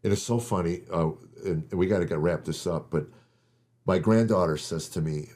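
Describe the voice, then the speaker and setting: An older man with a remarkably low, dark, mellow voice tells stories in a loose, rambling way. He stumbles and repeats words as he begins each thought, like a seasoned comedian chatting with friends. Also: moderate pace. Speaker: guest comedian. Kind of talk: casual storytelling chat.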